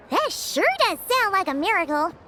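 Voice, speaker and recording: A woman voicing a tiny, excitable fairy companion in a video game, with a squeaky childlike voice and a bubbly manner. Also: background noise, heavily acted. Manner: bubbly, exclamatory. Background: music.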